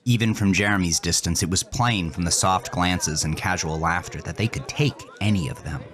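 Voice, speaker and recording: A man narrating a scripted horror story intensely, dropping to a hush in grim moments. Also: low-pitched, normal speed, a crowd in the background.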